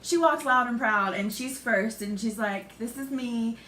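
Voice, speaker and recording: A woman's voice: a little high, pushed and bright. Supportive woman chatting in echoey room. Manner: supportive, giggly, talks fast. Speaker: guest. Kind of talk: casual chat. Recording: echoey room with faint noise.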